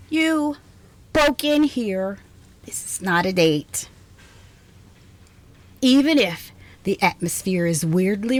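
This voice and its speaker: A woman playing an exhausted, prickly scientist with a soft side in an intimate ASMR roleplay, halting and teasing, often slipping into a whisper. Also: fairly high pitch, full character voice. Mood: dry, flustered teasing. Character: exhausted, prickly, passionate female scientist, secretly softening toward intruder. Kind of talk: ASMR roleplay, intimate one-sided dialogue.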